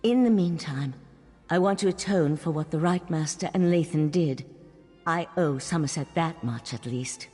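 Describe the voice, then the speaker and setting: Solemn, low-voiced mage, breathy. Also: female voice, a little mellow. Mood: earnest, resolved. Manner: measured, earnest, formal. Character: solemn, dutiful mage of a secretive watcher order. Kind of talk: fantasy video game voice acting. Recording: long hall reverb, music behind.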